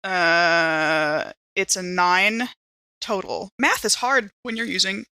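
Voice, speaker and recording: Female tabletop player, bright crisp edge, dry recording, casual and self-interrupting. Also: lowish voice, fairly even intonation, slow pace, no room sound. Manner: self-interrupting, thinking aloud. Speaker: player in a tabletop role-playing game. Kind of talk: casual game-table chat.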